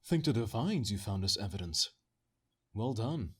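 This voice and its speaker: Guy doing a low husky imperial official for a fantasy game, animated, echoey tinny room. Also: moderate pace. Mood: relieved, approving. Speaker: auditioning amateur voice actor. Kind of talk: dramatic fantasy game audition read.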